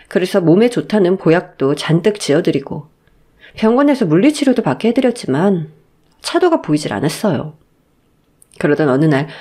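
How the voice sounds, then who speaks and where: A woman's voice: lowish voice, warm tone. A woman narrator reading a scripted first-person story in a dramatic storytelling style.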